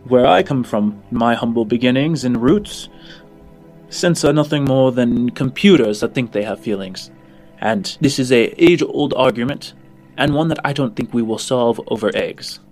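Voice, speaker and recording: A man's voice: medium pitch, moderate pace, a little mellow, faint music behind, natural voice. A man voicing a smug, amoral information slicer in a tabletop game, genteel and condescending in manner. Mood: smugly debating. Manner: genteel, condescending, calls listener dear and darling.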